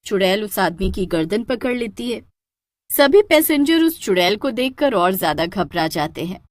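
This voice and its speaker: A woman's voice: medium pitch, big pitch swings, moderate pace, no echo. A woman narrating a scripted cartoon horror story.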